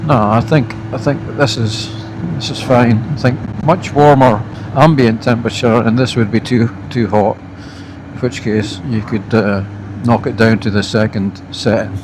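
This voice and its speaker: Slow-talking Scottish man over loud road noise. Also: medium pitch, fairly even intonation. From Scotland. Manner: halting, pausing between short phrases. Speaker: motorcycle vlogger. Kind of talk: casual unscripted product review while riding. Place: outdoors on a moving motorbike. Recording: loud motorbike wind and engine noise.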